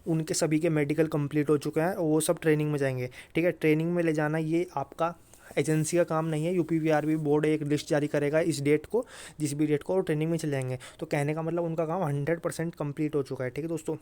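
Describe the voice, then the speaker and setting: A man's voice: on the high side, little pitch movement, fast talker, mellow. A man with a North Indian accent explaining updates informally to viewers, repetitive and insistent, mixing in English words. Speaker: exam-update YouTuber.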